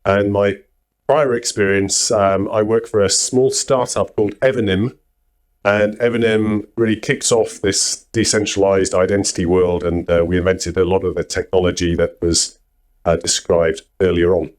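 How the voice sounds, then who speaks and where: A man introducing himself and his work at a conference talk in a relaxed, measured way. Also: low-pitched. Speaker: conference speaker. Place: conference hall before an audience.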